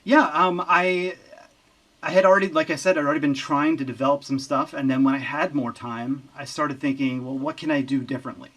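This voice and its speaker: A man who works as a recording engineer, chatting as an interview guest in an easygoing, halting way. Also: a little high, fairly even intonation, a bit bright. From Lehigh Valley, Pennsylvania. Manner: easygoing, halting, with many fillers and restarts. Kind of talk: casual interview chat.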